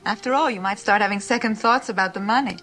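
An actress playing a flirtatious but wary small-town widow in an audio drama. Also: female voice, mid-range voice, fast, fairly bright tone, soft background music, natural voice.

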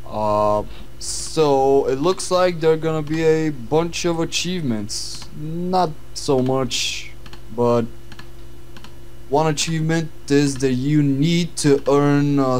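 A man's voice: mid-range voice, takes it slowly, a little mellow, slight background noise. A young man with a Bulgarian accent co-hosting casual gaming commentary, laid-back and hesitant. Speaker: gaming channel co-host.

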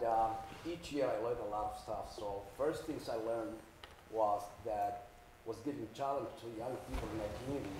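A man's voice: mid-range voice, noisy background. Middle-aged man, earnest panel storyteller, lapel mic on stage. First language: Somali. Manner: earnest storyteller, repeats words as he builds phrases. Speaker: panelist, community worker and radio host. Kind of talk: panel talk, personal anecdotes. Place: auditorium stage.

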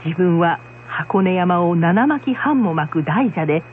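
A woman's voice: lowish voice, animated, slow, slight background noise. A woman narrator reading a scripted folktale in storytelling style. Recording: muffled lo-fi radio sound.

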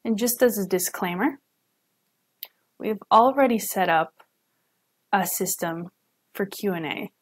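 A young woman, a student interpreter, voicing a signed lecture live at home into a webcam, pausing and correcting herself as she goes. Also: medium pitch. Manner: halting, with self-corrections and restarts. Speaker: student sign language interpreter.

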